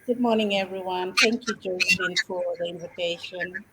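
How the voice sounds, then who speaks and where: A woman's voice: on the low side, steady tone, normal speed. A woman from Papua New Guinea introducing herself plainly and a bit hesitantly on a live video chat. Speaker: guest. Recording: very noisy video call line.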